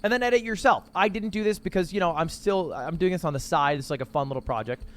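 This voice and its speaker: A man's voice: sits high, fast, a little hiss or hum. A man casually explaining his own video project in a confident, upbeat way. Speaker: YouTuber explaining his method.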